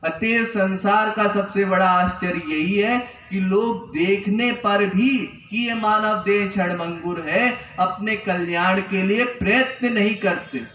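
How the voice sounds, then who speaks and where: A man's voice: high-pitched, unhurried, hardly any pauses, sharp tone, slight background noise. A young man giving an earnest spiritual discourse, teaching through repetition and rhetorical questions. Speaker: spiritual preacher. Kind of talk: Hindi religious discourse. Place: temple hall. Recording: echoey, distant, dull lo-fi sound.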